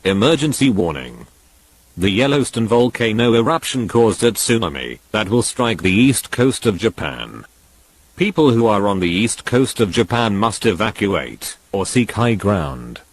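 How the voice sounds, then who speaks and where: A man's voice: on the low side, very animated, unhurried, husky, low-quality recording. A man reading a scripted emergency warning as an alert announcer.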